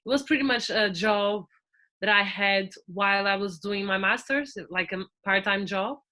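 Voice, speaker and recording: A young Portuguese woman in her twenties talks as a podcast guest, her accent plain and her voice bright and forward. She chats loosely, leaning on fillers and restarting her sentences. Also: medium pitch, normal speed. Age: 20s. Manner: chatty, with frequent fillers and restarts. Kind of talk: casual podcast interview.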